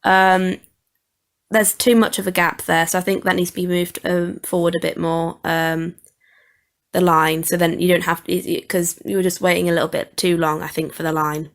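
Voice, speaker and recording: Young woman giving halting informal feedback. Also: on the low side, flat delivery. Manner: hesitant, halting, thinking aloud. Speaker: student producer reviewing an audio edit. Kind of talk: informal video commentary.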